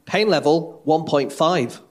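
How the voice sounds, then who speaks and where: Higher-voiced man reading dramatically. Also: slow pace. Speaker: podcast panellist reading a paper aloud.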